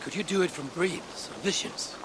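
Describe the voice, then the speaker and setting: Man acting an earnest, higher-pitched Viking warrior. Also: normal speed, noisy background, lightly acted. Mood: wondering. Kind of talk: video game cutscene acting.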